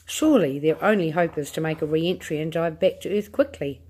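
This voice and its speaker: A woman's voice: sits low, animated, brisk, a little mellow, breathy edge. A woman voicing an earnest, eager young boy in a read-aloud storybook.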